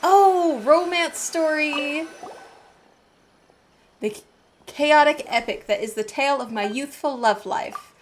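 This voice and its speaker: High-voiced woman streaming a game. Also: slow, long pauses, a little hiss or hum. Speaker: gaming streamer. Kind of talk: casual game commentary and reading dialogue aloud. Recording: game music under the voice.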